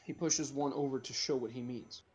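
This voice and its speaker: A man's voice: medium pitch, fairly even intonation, normal speed, darkish tone, slight background noise. A man reading screenplay directions aloud as the narrator of a group script reading.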